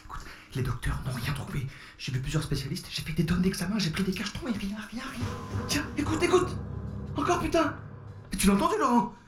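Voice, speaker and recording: Frantic, higher-pitched obsessive man. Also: lively intonation, a little fast, music in the background. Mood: agitated, desperate. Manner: agitated, insistent repetition. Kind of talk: French audio fiction acting.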